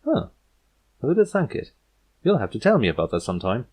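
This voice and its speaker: A man's voice: mid-range voice, huge pitch swings, a little mellow, slightly rough. A man voicing a guarded, distracted female pegasus author in a cartoon story, with an offhand manner. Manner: offhand, halting when cornered.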